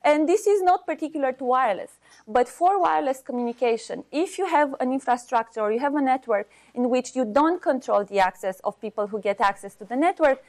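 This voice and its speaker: A woman with a Romanian accent giving an unscripted academic seminar talk as a university researcher, halting as she searches for words. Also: high-pitched, animated, a little mellow.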